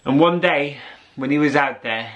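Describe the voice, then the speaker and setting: A man telling a story aloud in a theatrical way, with dramatic pauses and drawn-out words. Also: medium pitch, fairly bright tone, dull audio. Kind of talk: animated oral storytelling to an audience.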